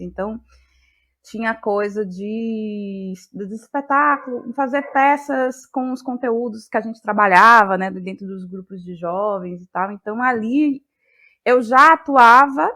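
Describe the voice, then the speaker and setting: A woman with a Brazilian accent from Bahia, answering as an interview guest in a reflective, halting way and often repeating phrases. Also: medium pitch, a bit bright. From Salvador, Bahia, Brazil. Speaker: guest, playwright and actress.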